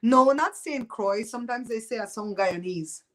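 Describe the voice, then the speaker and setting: A woman's voice: on the high side, moderate pace, fairly bright tone. Blunt Belizean woman chatting live. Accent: Belizean Creole. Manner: blunt, gossipy, laughs often, Creole-flavoured speech. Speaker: host of a live show. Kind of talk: casual chat with live viewers.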